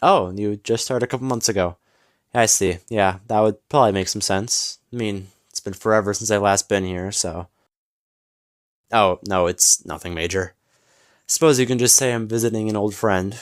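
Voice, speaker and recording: A man playing a smug, flirtatious businessman in an audio roleplay, teasing and smooth-talking with mock politeness. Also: fairly low pitch, normal speed, no room sound, clean studio sound, lightly acted. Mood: flirtatious, playful. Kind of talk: scripted audio roleplay acting.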